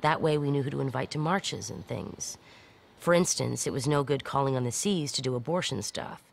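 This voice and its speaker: A woman's voice: a bass voice, normal speed, vocal fry, full character voice. An actress playing a defiant woman fugitive who recounts her escape in a wry, conversational, matter-of-fact way in an audio drama. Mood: wry, weary.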